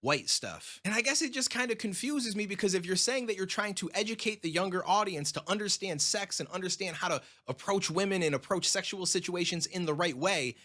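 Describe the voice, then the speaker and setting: A man narrates a scripted commentary piece and sounds bemused and incredulous. His voice sits high for a man and comes across bright and sharp. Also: animated, fairly quick, few pauses.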